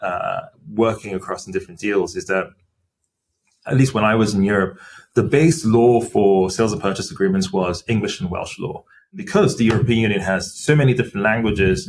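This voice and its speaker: Low-voiced man explaining at measured pace. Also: slight background noise. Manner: measured, explanatory, with frequent fillers. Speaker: company CFO as podcast guest. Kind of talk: interview conversation.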